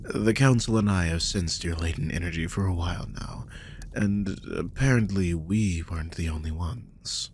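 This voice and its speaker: A man playing a protective, guilt-ridden magical guardian in an intimate roleplay audio drama, speaking softly and hesitantly in a husky, breathy voice that often drops toward a whisper. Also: deep voice, normal speed, a little hiss or hum. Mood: remorseful, explaining. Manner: soft, hesitant, soothing, with frequent sighs.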